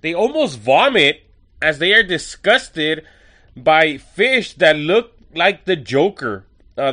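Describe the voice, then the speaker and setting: A man's voice: fairly high pitch, pushed and bright. A man hosting a podcast and reviewing an episode in a chatty, enthusiastic way with wry asides, a little nasal from a cold. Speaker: podcast host.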